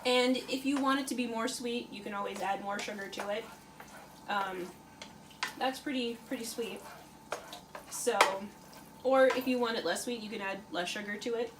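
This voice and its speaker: A woman's voice: on the high side, normal speed, long pauses. A woman hosting a casual home cooking video, chatting with lots of fillers as she stirs a pot. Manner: chatty, many fillers, talks while stirring. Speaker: cooking channel host. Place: home kitchen. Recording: audible kitchen noise. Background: frying and stirring sounds.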